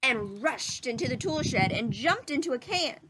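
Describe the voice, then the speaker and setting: A woman reading a children's story aloud in an instructive, teacherly manner, pausing to put questions to young listeners. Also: high voice, animated, heavy background noise. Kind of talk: children's read-aloud narration.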